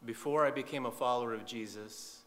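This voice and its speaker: A man's voice: medium pitch, a little fast, a bit bright. Man preaching in a long-reverb hall. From eastern Saudi Arabia. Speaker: visiting preacher. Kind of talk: sermon testimony in short phrases for an interpreter.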